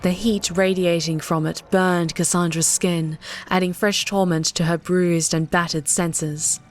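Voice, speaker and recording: A woman narrates an audiobook in a dramatic, scripted style. Her voice sits a little low, and music plays behind her. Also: fairly even intonation, measured pace.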